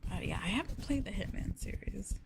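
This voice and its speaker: A woman streamer talking softly and gently in a casual ASMR style, chatting with her viewers, sounding a little sleepy. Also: mid-range voice, expressive, brisk, vocal fry, very noisy. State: sleepy, winding down. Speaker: virtual streamer hosting a live stream. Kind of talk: ASMR soft-spoken casual chat with viewers.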